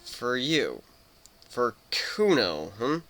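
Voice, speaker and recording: A man's voice: mid-range voice, very animated, extremely slow, sharp tone, full character voice. A man playing a grumpy young male pegasus pony who mumbles and protests, in a cartoon fan fiction reading. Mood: curious.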